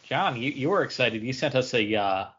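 A man with a medium-pitched voice talks casually as one of a podcast's hosts. He sounds conversational and informal. The audio is slightly dull. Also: animated, normal speed, a bit bright, slightly rough. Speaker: podcast co-host. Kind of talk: casual chat.

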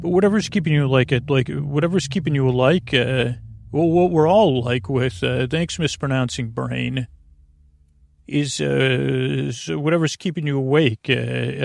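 Man, gravelly creaky voice, soothing, meandering bedtime monologue, halting and self-interrupting, dry close sound. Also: medium pitch, darkish tone, dry recording. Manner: soothing, lulling. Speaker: podcast host. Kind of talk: rambling bedtime sleep podcast monologue.

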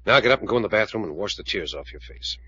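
A commanding, skeptical man of action from a vintage radio drama. He talks fast and firmly in a low, slightly rough voice. The old recording is muffled. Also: animated, sharp tone, slight background noise. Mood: persuasive, earnest. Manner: firm, clipped, authoritative. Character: hard-headed, commanding male adventurer, skeptical and resolute. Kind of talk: 1940s radio acting.

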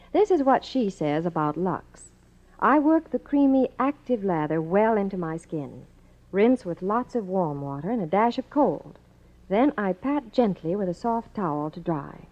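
A woman's voice: mid-range voice, a little mellow. A woman spokesperson delivering a scripted soap advertisement in 1950s radio style. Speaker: commercial spokeswoman. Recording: muffled 1950s radio broadcast.

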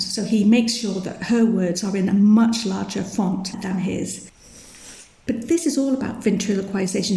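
Woman, historian guest, creaky, dark tone, lecturing, roomy sound. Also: medium pitch. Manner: fluent, lecturing, emphatic. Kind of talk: academic interview explanation.

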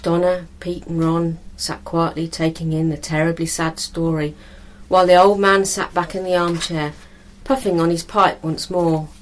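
A woman reading a scripted story as narrator, in a measured, expressive storytelling manner. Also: on the low side, poor audio.

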